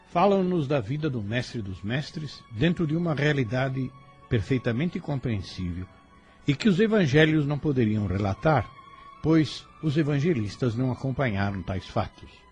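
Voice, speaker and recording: Mid-pitched man, devotional narration over soft music. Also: sing-song, normal speed. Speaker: presenter giving a closing reflection. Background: faint background music.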